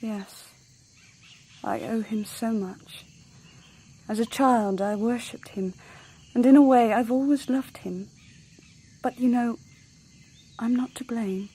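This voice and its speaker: An actress playing a cool, self-possessed young woman in a radio drama, with a hushed, candid manner. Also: on the high side, slow, pauses often, breathy edge. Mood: rueful. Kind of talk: intimate radio drama acting.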